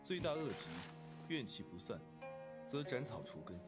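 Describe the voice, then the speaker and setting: A man playing an aloof, principled young disciple in an animated drama, speaking with formal, measured phrasing. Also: mid-range voice, close to an everyday voice. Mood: composed, grave. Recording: loud music over dialogue.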